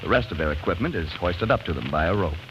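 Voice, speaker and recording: A man's voice: low-pitched, animated, fast, fairly bright tone. An American man announcing on 1940s radio, reading scripted sponsor narration in a folksy, polished, emphatic way. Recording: muffled, hissy 1940s radio broadcast.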